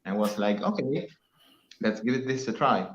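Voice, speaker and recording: A man's voice: medium pitch, lively intonation, normal speed, roomy sound, dull audio. A man with an Argentine Spanish accent, a native Spanish speaker, chatting casually as a guest on a livestream and telling stories loosely with some swearing.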